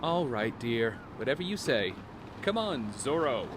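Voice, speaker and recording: Actor as reassuring father, medium voice, soothing, protective, audio drama, clear background noise. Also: mid-range voice, a little slow. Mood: affectionate. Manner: soothing, steady. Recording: noisy city street ambience.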